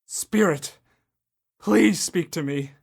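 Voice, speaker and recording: A pleading old miser. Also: male voice, high-pitched, slow, gravelly, breathy edge, exaggerated voice. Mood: anguished. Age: young adult. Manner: pleading, anguished. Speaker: Ebenezer Scrooge. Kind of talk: student radio drama.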